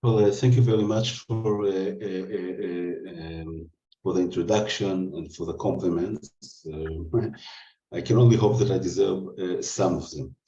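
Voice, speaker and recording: A man with an Israeli accent giving a formal talk from his notes at a podium, halting often with fillers. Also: low voice, steady tone, dark tone. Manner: formal, hesitant with many fillers. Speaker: guest speaker. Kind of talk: lecture read from notes. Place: lecture hall at a podium. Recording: dull, distant, roomy lecture-hall sound.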